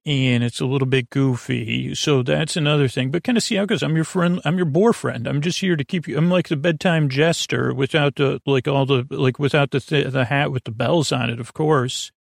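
A man hosting a sleep podcast, talking in a creaky, slow, meandering bedtime monologue full of tangents and restarts. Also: medium pitch, dry recording, clean studio sound.

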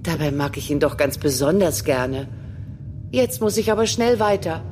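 A woman's voice: fairly low pitch, darkish tone, close to an everyday voice. A woman playing a friendly, caring, busy astronaut in a children's audio play.

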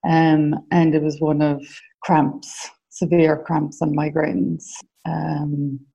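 Low gravelly Irish woman. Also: flat delivery, takes it slowly, warm tone, highs cut off. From Ireland. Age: 40s. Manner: hesitant, many ums and restarts. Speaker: podcast guest. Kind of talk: casual interview answers.

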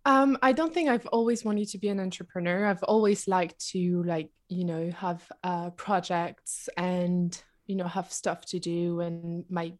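A woman's voice: lowish voice, steady tone, measured pace, hardly any pauses. A woman in her twenties from France, speaking English with a French accent in a casual interview, informal and full of hesitant fillers. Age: 20s. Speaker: podcast guest, young entrepreneur.

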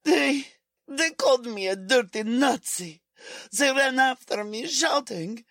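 A woman playing a high, creaky, frightened housewife. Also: expressive, a bit bright, no room sound, clean studio sound, full character voice. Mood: shaken. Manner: hesitant, halting delivery. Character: frightened, bewildered German-American housewife, timid and gentle. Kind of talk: 1940s radio drama acting.

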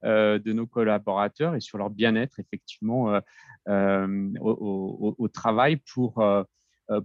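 A man in his forties, a company executive being interviewed, speaking hesitantly with many pauses and restarts. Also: fairly low pitch, no room sound, muffled recording. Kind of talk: podcast interview.

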